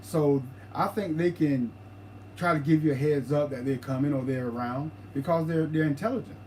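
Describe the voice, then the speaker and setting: Man co-host, livestream chat, slightly higher voice, stammering restarts, faint hiss behind. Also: mellow, rough recording. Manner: halting, repeats words and restarts a lot.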